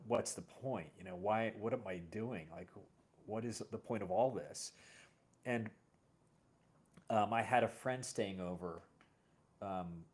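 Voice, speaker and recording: A middle-aged man hosting a casual, personal conversation, telling stories in a halting, reflective way. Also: fairly low pitch, darkish tone. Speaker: host.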